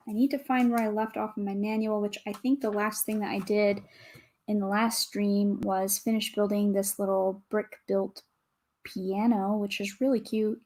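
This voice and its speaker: A woman with a calm, soothing voice, chatting casually with viewers as a livestream host, greeting and thanking them as she goes. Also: mid-range voice, a little hiss or hum. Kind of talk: casual livestream chat while building.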